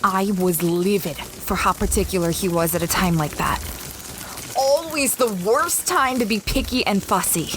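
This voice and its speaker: A woman's voice: mid-range voice, lively intonation, moderate pace, a little hiss or hum, close to an everyday voice. A woman playing an adventurous, easily flustered young space explorer, narrating her story in an audio drama. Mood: wry recounting. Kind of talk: first-person audio drama narration and dialogue.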